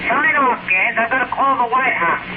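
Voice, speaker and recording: An actor playing a smug, menacing engineer, taunting and domineering, in an old-time radio drama. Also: male voice, very high-pitched, normal speed, phone-quality sound. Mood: smug menace. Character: smug, menacing male engineer leading a takeover. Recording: very noisy, thin old radio broadcast.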